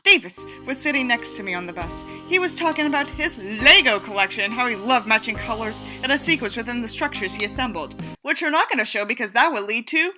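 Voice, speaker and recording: A woman's voice: fairly high pitch, lively intonation, fast talker, hardly any pauses, music in the background. A woman reading a book aloud as its narrator and commentator, theatrical and sardonic, with a sarcastic, sweary streak. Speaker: audiobook reader and commentator.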